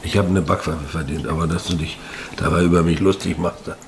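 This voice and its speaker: An actor playing a confused, stubborn middle-aged father in a naturalistic radio drama, muttering in short halting phrases, guilt-ridden. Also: sits low, fairly even intonation, a little hiss or hum, close to an everyday voice. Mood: stubborn, regretful.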